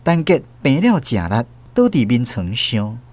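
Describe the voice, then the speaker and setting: 一位男主持人以台語口音朗讀小說旁白，語調生動。. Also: male voice, medium pitch, sing-song, a little slow, darkish tone. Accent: Taiwanese Hokkien. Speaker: host and narrator. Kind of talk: scripted storytelling narration.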